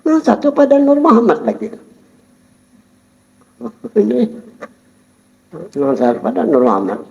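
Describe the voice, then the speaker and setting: A man giving a Sufi study-circle lecture, explaining calmly and chuckling while he addresses his listeners. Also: sits high, a little slow, vocal fry. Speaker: religious teacher. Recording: dull lo-fi recording.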